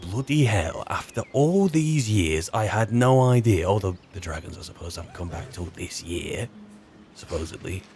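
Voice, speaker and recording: A man's voice: sits low, animated, normal speed, a little mellow, slight background noise. A British man doing casual in-character commentary while playing a fantasy game, wryly muttering to himself. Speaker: player narrating a roleplay of a fantasy video game. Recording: game music behind the voice.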